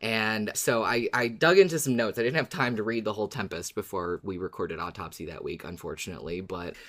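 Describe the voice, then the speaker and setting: A woman's voice: very deep voice, fairly quick, fairly bright tone. Woman podcaster, enthusiastic, explaining things at length, casual chat. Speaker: podcast co-host. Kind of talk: casual discussion.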